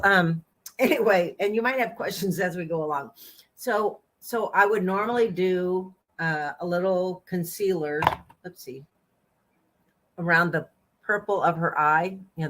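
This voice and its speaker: Chatty, giggly makeup artist woman. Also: fairly low pitch. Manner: chatty, giggly, gently bossy. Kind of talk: casual makeup demonstration.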